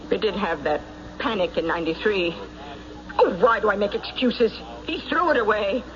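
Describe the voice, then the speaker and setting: An actress playing a defensive, indignant American farm widow in a radio mystery, with curt, bristling replies. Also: female voice, mid-range voice, a bit bright, close to an everyday voice. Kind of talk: radio mystery drama acting. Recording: muffled, hissy old radio recording.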